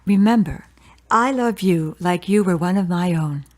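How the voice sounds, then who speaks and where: A woman playing a caring, gently meddling birth mother in a radio drama, with a solicitous, motherly manner. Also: lowish voice, unhurried, natural voice. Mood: affectionate.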